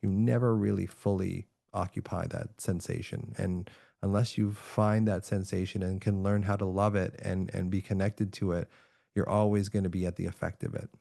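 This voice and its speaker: Gravelly low-voiced calm man. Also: moderate pace, dry recording, studio quality. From Canada. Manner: calm, reflective, soft-spoken with frequent restarts. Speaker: podcast guest, author and neuroscientist. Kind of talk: relaxed remote podcast interview.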